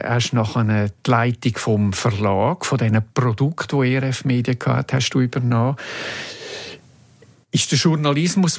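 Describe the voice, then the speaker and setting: A man's voice: mid-range voice, no room sound. A man with a Swiss German accent, interviewing in a conversational style as host.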